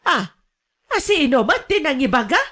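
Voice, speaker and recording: A woman acts as an older mother with a somewhat high voice. She is quarrelsome and aggrieved, always defending herself and faulting her relatives, in the style of radio drama. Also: very animated, normal speed, bright, forceful tone, exaggerated voice. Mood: pleading, startled. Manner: querulous, defensive.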